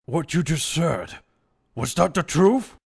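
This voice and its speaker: Man playing a gravelly, stern old judge. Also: fairly high pitch, animated, exaggerated voice. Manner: formal, deliberate, solemn. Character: stern, ponderous elderly courtroom judge. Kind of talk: dramatic fan dub voice acting.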